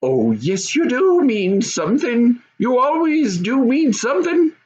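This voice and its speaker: A man voicing a sharp goblin wife and mother who scolds and insists, in a storybook reading. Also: very high-pitched, very animated, a little slow, lo-fi sound, a little hiss or hum, pitched above the performer's own voice, heavily acted. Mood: prying, insistent.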